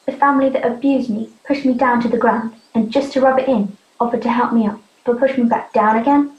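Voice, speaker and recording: A woman's voice: a little high, steady tone, a little fast, darkish tone, natural voice. A young woman in a youth theatre play acting a defiant, hurt teenage runaway girl, earnest and insistent. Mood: bitter, hurt. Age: young adult. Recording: muffled, roomy amateur recording.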